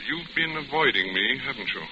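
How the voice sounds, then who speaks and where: An actor playing a smooth, scheming fugitive in a 1940s radio drama, polite, insinuating and self-assured. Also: male voice, medium pitch, expressive, brisk, close to an everyday voice. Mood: politely probing. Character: smooth, scheming male fugitive, coolly persuasive. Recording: muffled, noisy vintage radio recording.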